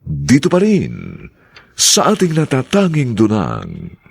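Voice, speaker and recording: A man's voice: mid-range voice, wildly swooping pitch, gravelly, husky. A man announcing a radio drama in a formal, polite manner and drawing his words out. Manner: slow, polite, drawn-out delivery.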